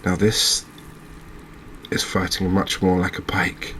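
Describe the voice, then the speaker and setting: A man giving soft-spoken ASMR commentary as he plays a video game, in a measured, gentle, chatty manner. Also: sits low, completely flat delivery, a little hiss or hum. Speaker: host playing a fishing video game.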